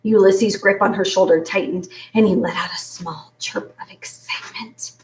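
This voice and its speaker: A woman reading a children's book aloud in an expressive storytelling style. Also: medium pitch, normal speed. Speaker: story reader. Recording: roomy echo, distant from mic.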